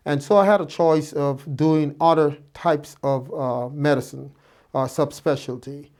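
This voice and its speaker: A man's voice: a little high, steady tone, measured pace, a little mellow. A man in his fifties from Guyana, a doctor telling his life story to students in a reflective way, with many fillers and restarts. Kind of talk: relaxed personal storytelling.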